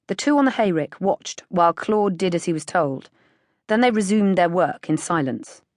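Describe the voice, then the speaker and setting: A British woman reads a short story in measured literary narration. Her voice sits a little low for a woman, and the recording is dry and close. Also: animated, darkish tone, no echo. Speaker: audiobook narrator.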